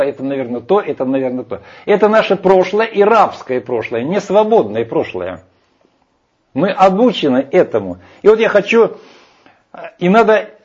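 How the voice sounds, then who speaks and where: A man's voice: on the high side, darkish tone, breathy edge. A man arguing emphatically in a heated discussion, rambling with hesitations. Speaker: speaker lecturing on Vedic scripture. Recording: dull lo-fi sound.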